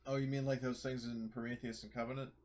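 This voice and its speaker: A man's voice: mid-range voice, level tone, fast, darkish tone. A man chatting casually as a player in a tabletop roleplaying game. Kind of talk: casual chat.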